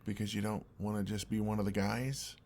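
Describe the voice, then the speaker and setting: A young man playing an exasperated, earnest and oblivious best friend in an intimate audio roleplay, speaking in a halting, conversational way. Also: lowish voice, little pitch movement, a little mellow. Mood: frustrated, confused.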